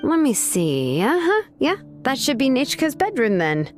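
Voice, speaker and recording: An actress playing a young woman smith in a game, easygoing and teasing, with soft game music behind her. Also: high voice, unhurried, heavily acted. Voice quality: relaxed, casual, teasing. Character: young woman blacksmith, easygoing and laid-back. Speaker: name engraver of the tribe. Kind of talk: game dialogue.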